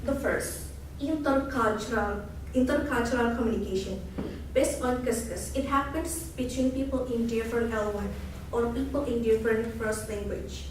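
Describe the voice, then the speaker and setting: A woman's voice: on the high side, moderate pace. A young woman from Indonesia with an Indonesian accent, giving an earnest student research presentation and often restarting words. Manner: earnest, explanatory, frequent restarts. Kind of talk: academic presentation. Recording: echoey room from far off, noisy.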